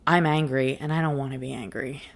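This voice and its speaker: A woman's voice: very deep voice, steady tone, brisk, creaky. A woman hosting a reflective motivational monologue, speaking candidly and conversationally, with emphasis and rhetorical questions. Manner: asks rhetorical questions and answers them. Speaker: podcast host and life coach.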